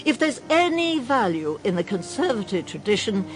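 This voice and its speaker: Actress as dignified upper-class political grande dame, mid-pitched, lively intonation, formal, oratorical, stern, radio drama, light music. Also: female voice, normal speed, close to an everyday voice, poor audio. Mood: stern, principled.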